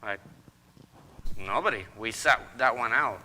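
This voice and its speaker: A man's voice: medium pitch, measured pace, bright, forceful tone. A man playing a folksy, stubborn hoarder of a husband in old-time radio comedy style, blustering and exclamatory. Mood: sheepish, chatty. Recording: reverberant hall, noisy live stage recording.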